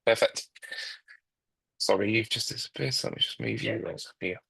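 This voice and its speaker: A man's voice: lowish voice, moderate pace, gravelly, fairly bright tone, breathy edge, no echo, poor audio. A man with a broad Manchester accent giving a presentation in an online meeting, talking with many ums and restarts. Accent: Manchester English. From England. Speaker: guest speaker, quality consultant. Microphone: video call.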